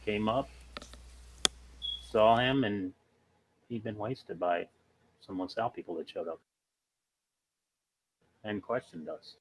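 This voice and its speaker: A man's voice: lowish voice, takes it slowly, long pauses, a little hiss or hum, low-quality recording. A man in casual discussion as a player in a tabletop role-playing game.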